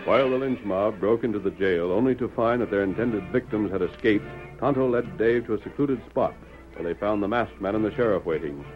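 Lowish male narrator, dramatic old radio western storytelling, muffled sound, music behind loud and clear. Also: brisk. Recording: muffled vintage radio recording.

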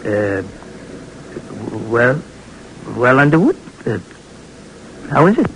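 A man's voice: medium pitch, very animated, slow, slight background noise, rough recording. An actor playing a doubtful, nervous man who stammers and hesitates, in 1940s radio style. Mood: hesitant.

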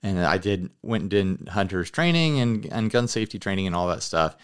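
A man's voice: low voice, animated, a little fast, smooth. Casual male podcast co-host, chatty storyteller. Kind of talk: casual chat about hobby gear.

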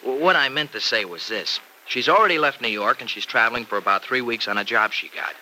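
A man's voice: mid-range voice, expressive, fast talker, pushed and bright, low-quality recording. An American actor playing an earnest, teasing young farm man in a mid-century radio drama, with folksy, slangy speech. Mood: explaining. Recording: old radio recording with faint hiss.

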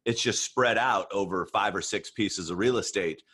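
A man's voice: fairly low pitch, normal speed, fairly bright tone, slightly rough. A man hosting a podcast, explaining his points with everyday analogies in an engaged way. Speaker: podcast host, music business coach. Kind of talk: casual podcast interview.

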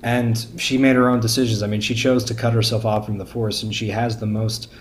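A man's voice: on the low side, level tone, brisk. Man talking casually, stammering, noisy background. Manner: stammering, repeats words as he searches for phrasing. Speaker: podcast guest or panelist discussing a book. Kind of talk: casual podcast discussion.

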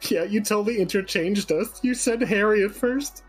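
A man's voice: high-pitched, normal speed, husky, faint music behind, poor audio. A man guesting on a stream, moving between casual chat and reading from a script.

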